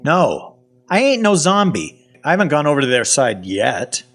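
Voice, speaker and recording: A man playing a sardonic, suspicious captive in a zombie audio drama, talking in a colloquial, wry way with a husky voice. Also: fairly high pitch, big pitch swings, normal speed, fairly bright tone, heavily acted. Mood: agitated, defensive. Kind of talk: first-person audio fiction narration and acting.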